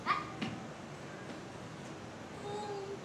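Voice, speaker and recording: A woman's voice: very high-pitched, monotone, extremely slow, exaggerated voice. A woman in a staged play reading, playing a frightened, whiny tomboy girl who protests like a child. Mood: worried. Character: whiny, frightened tomboy little sister just reaching puberty. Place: basement theater stage. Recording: echoey stage room, distant, loud background noise.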